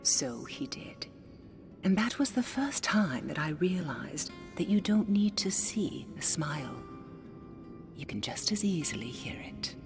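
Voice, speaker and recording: A woman voicing a blind older songstress in a video game, who speaks softly, often in a hushed, intimate half-whisper, wise and gently teasing. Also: low voice, big pitch swings, a little slow, vocal fry, over music, heavily acted. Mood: tender. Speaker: game character.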